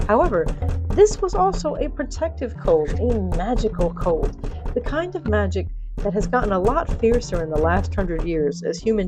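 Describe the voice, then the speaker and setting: A woman's voice: medium pitch, rough recording. A woman storyteller narrating a comic fantasy tale in a dramatic scripted style. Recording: background music under narration.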